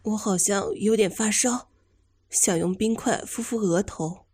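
Husky, feverish, weary woman. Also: mid-range voice, a little slow, darkish tone. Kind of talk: broadcast drama acting.

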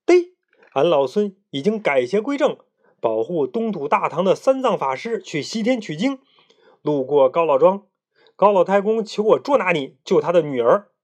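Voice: medium pitch, very animated, normal speed, close to an everyday voice. A storyteller voicing a bold, cocky monkey hero from a children's storybook, brash, commanding and combative. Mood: proud. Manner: commanding, challenging shouts.

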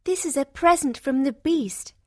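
Woman voicing a high-pitched, kind young storybook heroine. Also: moderate pace, darkish tone, no room sound, clean studio sound, natural voice. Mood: happy, generous. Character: kind, gentle young fairy-tale heroine, devoted daughter and sister.

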